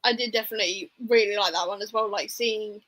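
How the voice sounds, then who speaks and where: A woman's voice: medium pitch, fairly even intonation, quick pace, fairly bright tone. A woman hosting a casual book discussion, speaking informally and giving her opinions with plenty of hedging. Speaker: book discussion livestream host.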